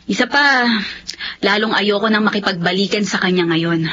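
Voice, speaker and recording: A woman's voice: mid-range voice, moderate pace, sharp tone, close to an everyday voice. A woman with a Filipino accent acting a proud, firm young former sweetheart in a radio drama. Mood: firm, hurt. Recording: dull lo-fi radio, faint noise.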